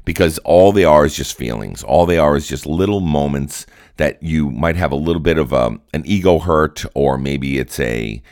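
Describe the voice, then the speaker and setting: Solo podcast host, male, deep and raspy with a cold, earnest pep-talk delivery. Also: moderate pace, darkish tone, no room sound, studio quality. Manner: earnest, repetitive, coaching tone.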